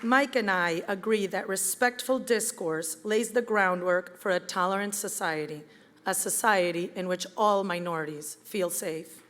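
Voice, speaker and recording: A woman's voice: medium pitch, a little slow, a bit bright, slight background noise. Earnest woman. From Venezuela. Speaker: campaign event speaker. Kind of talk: prepared campaign speech. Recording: reverberant hall with crowd.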